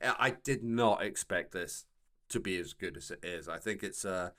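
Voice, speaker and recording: A man co-hosting a podcast, discussing and critiquing in a casual, halting way, repeating words for emphasis. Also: deep voice, bright, forceful tone. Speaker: podcast co-host.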